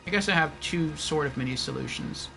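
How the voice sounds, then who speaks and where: A man's voice: on the high side, brisk, creaky, bright, forceful tone, soft background music, poor audio. A man giving casual livestream game commentary, thinking aloud and reasoning things out step by step.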